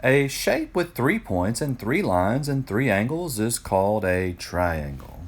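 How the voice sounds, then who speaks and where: A man's voice: mid-range voice, big pitch swings, unhurried, voice pushed up. Man acting an eager, emphatic ancient Greek teacher. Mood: triumphant.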